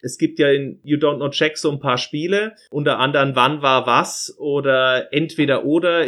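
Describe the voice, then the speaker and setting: A man's voice: medium pitch, fairly bright tone. A man interviewing someone in a casual, chatty and discursive way, improvising as he goes.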